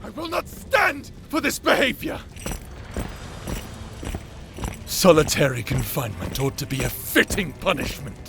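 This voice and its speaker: A man playing a proud, tormented captor under a curse in a dark fantasy audio roleplay, with a theatrical, anguished and imperious delivery. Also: fairly high pitch, wildly swooping pitch, moderate pace, creaky, music in the background, full character voice. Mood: furious.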